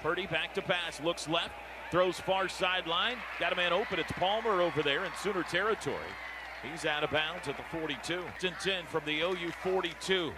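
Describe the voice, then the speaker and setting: High-pitched American football radio announcer, loud stadium noise. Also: male voice, a little fast, a crowd in the background. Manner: clipped running descriptions, building to shouts on big plays.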